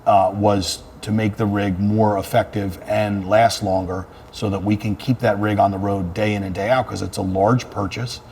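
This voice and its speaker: Male fire chief, low register, earnest unscripted interview delivery, noticeable background noise. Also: level tone, moderate pace, hardly any pauses, a little mellow. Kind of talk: casual interview talk about work.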